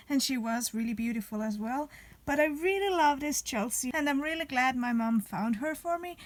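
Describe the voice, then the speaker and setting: A young woman chatting casually to camera about a doll she got, rambling and loose. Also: sits high. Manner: chatty, rambling with fillers and restarts. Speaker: vlogger. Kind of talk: casual doll show-and-tell.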